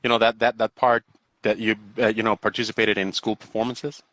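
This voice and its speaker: A man in his forties, an English teacher hosting a casual live interview, who talks in a halting, wordy way, repeating words and often checking in with the listener. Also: on the low side, flat delivery, fairly bright tone, dry recording. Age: 40s. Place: indoors on an online video call.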